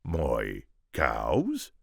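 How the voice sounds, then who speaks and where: A man voicing a tiny, henpecked old farmer with a halting, bewildered manner. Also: extremely low pitch, wildly swooping pitch, vocal fry, a bit bright, slightly rough, no echo, clean studio sound, voice pushed down, exaggerated voice.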